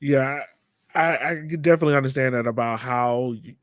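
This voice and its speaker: A man's voice: mid-range voice, fairly quick, no room sound, studio quality. A man hosting a podcast in a casual, conversational way. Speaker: podcast host.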